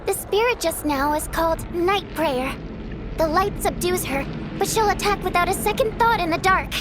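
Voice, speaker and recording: A woman voicing a chirpy, upbeat female guardian spirit who gives quick, urgent instructions in a game. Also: extremely high pitch, background noise. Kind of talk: game voice acting. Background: game music.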